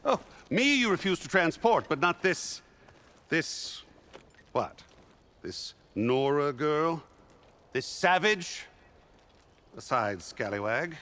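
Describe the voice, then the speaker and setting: An actor playing a pompous, indignant, self-important priest in a video game, with haughty, archaic formal diction. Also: male voice, fairly high pitch, very animated, slow, pauses often, pushed and bright, exaggerated voice. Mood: indignant outrage. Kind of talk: video game cutscene acting.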